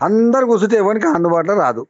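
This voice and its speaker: A man's voice: high voice, animated, racing, no echo. A man with a Telangana accent making a heated, insistent complaint as a farmer on a phone call, repeating his demands argumentatively. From Telangana, India. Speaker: farmer caller complaining to an official. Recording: dull lo-fi phone call recording.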